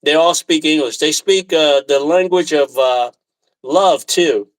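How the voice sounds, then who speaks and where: Higher-voiced man chatting casually, halting delivery. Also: rough recording. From Taiwan, left as a little boy. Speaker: live stream host. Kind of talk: casual live chat with viewers.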